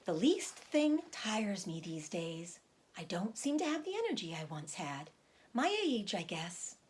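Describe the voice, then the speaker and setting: A woman's voice: fairly low pitch, very animated, a little hiss or hum. A woman playing a polite, weary spider lady. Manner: polite, measured, dry. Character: wise gentle female spider, polite and weary.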